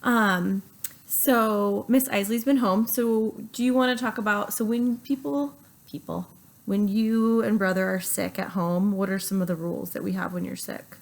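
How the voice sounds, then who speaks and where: Middle-aged woman, a doctor hosting a casual home video, unwell, gently quizzing a child, mid-pitched. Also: steady tone, normal speed, vocal fry. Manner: coaxing, asks leading questions. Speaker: doctor and host.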